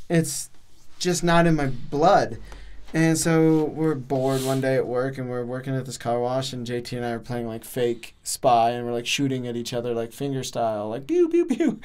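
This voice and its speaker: A man's voice: medium pitch, a little slow, clear, resonant tone. A young American man chatting and telling stories in a casual, playful, joking way on a studio mic. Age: young adult. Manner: animated, joking, playful slang. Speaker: podcast host. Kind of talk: casual comedic storytelling chat. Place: small acoustically treated podcast studio. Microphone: studio microphone on boom arm.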